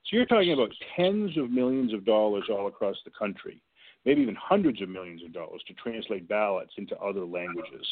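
A man's voice: fairly low pitch, lively intonation, fairly quick. A man calling in to a talk show as a guest and making his case emphatically, with sports comparisons. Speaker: guest advocacy director. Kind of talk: phone-in radio interview. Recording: tinny phone line.

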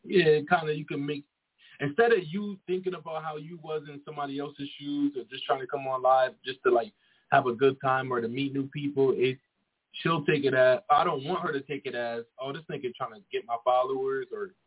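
Husky-voiced young man, tinny line. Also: medium pitch, fairly even intonation, brisk. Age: 20s. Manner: rambling, frequent "you feel me". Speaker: guest. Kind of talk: casual chat. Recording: tinny phone-like sound.

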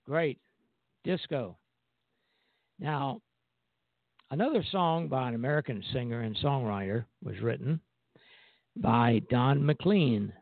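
A man presenting a music radio show, reading song history in a measured, halting way with pauses. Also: medium pitch, vocal fry, no echo. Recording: tinny phone-like lo-fi sound.

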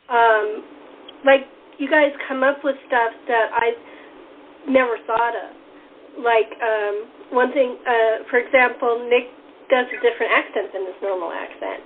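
A woman with a fairly high voice chats casually as host, effusive and encouraging in manner. The sound is narrow and phone-like, with light noise and clicking behind her. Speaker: host and radio playwright in a cast interview. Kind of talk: casual chat. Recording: tinny phone-like line, faint noise and clicks.

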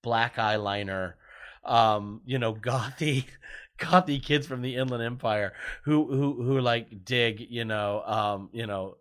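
A middle-aged man with a loud voice, a podcast guest telling animated stories in casual chat, restarting his sentences often. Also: medium pitch. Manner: animated storyteller, many restarts and "you know"s. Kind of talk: casual podcast interview storytelling.

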